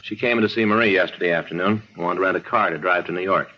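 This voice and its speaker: Quick, low-voiced cop partner. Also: male voice. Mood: businesslike. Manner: casual, clipped. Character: plainspoken male police detective, the businesslike partner. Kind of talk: 1950s radio crime drama acting. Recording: muffled, slightly noisy 1950s radio recording.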